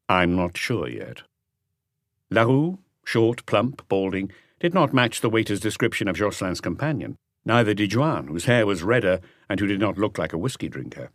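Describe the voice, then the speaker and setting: Deep male audiobook narrator, really moves his pitch around, dry sound. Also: no echo. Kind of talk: scripted mystery novel narration.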